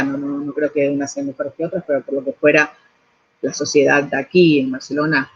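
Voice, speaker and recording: A woman's voice: sits low, slightly rough, highs cut off. A middle-aged woman from Argentina with an Argentine Spanish accent, an architect answering interview questions thoughtfully, restarting phrases and adding tag questions. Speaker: architect and urban planner.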